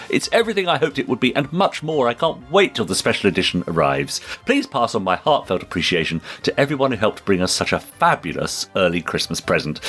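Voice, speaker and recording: A British man co-hosting a podcast, chatting and reading out listener messages in an animated, agreeable way. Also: fairly low pitch, slightly rough. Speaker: podcast co-host and actor.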